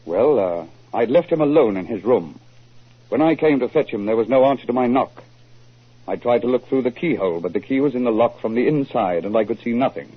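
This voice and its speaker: An earnest but crafty gentleman writer in a 1940s radio play. The voice is mid-pitched and husky, formal and measured in telling a story. It sounds thin and muffled, as on an old broadcast. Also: lively intonation. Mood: earnest, grave. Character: earnest, crafty male mystery writer client. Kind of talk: 1940s radio drama acting.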